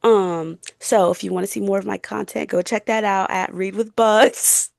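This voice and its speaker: A woman's voice: mid-range voice, fairly even intonation, normal speed, gravelly, no echo, clean studio sound. A woman of about twenty co-hosting a podcast, chatting casually in a giggly, slangy way with lots of filler words. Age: around 20. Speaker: podcast co-host. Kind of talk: casual chat.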